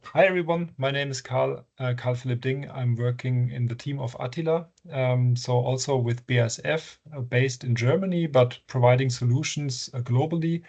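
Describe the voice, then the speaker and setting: A man's voice: medium pitch, darkish tone. A man introducing himself on a video call in a hesitant way, with many fillers, through dull lo-fi audio. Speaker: team member introducing himself.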